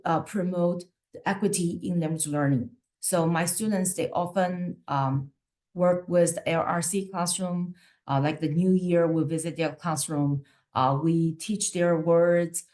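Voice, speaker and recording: A woman with a somewhat low voice presents to fellow teachers over a video call. English is not her first language, and she talks in a loose, conversational way with plenty of fillers. She keeps checking in with her listeners as she goes. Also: darkish tone. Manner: conversational, frequent "right?" and fillers. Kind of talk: webinar presentation.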